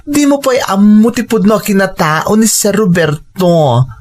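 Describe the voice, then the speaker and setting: A woman playing an excitable, cautioning best friend in a Filipino audio drama, exclaiming and admonishing dramatically. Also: mid-range voice, measured pace. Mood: concerned, warning.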